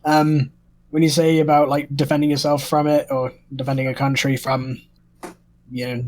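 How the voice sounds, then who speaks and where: A man of middling pitch talks on a casual discussion panel with little melody in his voice. He keeps adding qualifications to what he says. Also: rough recording. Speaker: podcast panellist.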